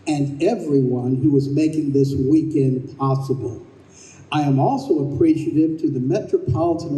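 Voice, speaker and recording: Higher-pitched, very mellow man giving a speech. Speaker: playwright and director introducing his show. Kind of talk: prepared introductory speech to an audience. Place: convention room, live on stage. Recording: roomy convention hall, distant microphone.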